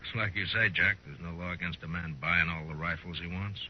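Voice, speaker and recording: An actor playing a stern, laconic frontier marshal in a 1950s radio western, terse and understated. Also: male voice, low voice, fairly even intonation, brisk, slight background noise, close to an everyday voice. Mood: musing. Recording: muffled old 1950s radio recording.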